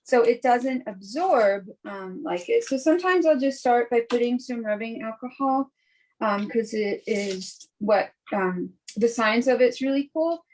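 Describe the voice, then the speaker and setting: A woman artist casually demonstrating her work on a video call, relaxed and chatty with fillers. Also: fairly high pitch, a little slow, poor audio. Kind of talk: casual demo talk.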